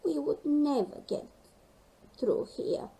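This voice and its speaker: A woman's voice: sits high, expressive, slow pace, warm tone, pitched above the performer's own voice, full character voice, low-quality recording. A woman voicing a timid, worried young male penguin in a storybook, nervous and exclaiming. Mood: dismayed.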